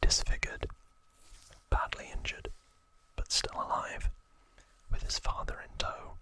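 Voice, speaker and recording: ASMR host: whispered throughout, slow and level, informal storytelling, close-miked. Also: pushed and bright, low-quality recording. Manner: casual, informal recap with repeated words for effect.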